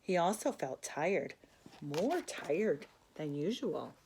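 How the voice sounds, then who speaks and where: A woman's voice: on the low side, takes it slowly, slight background noise, poor audio. Older woman reading a children's story. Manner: gentle, expressive, with asides to a child listener. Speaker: grandmother storyteller. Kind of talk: reading a children's picture book aloud.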